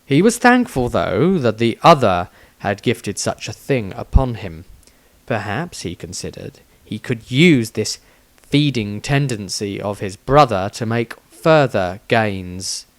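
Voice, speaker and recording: A man's voice: on the low side, sing-song, slow pace. A man reading his own fantasy story aloud as a scripted, dramatic narration with a theatrical delivery.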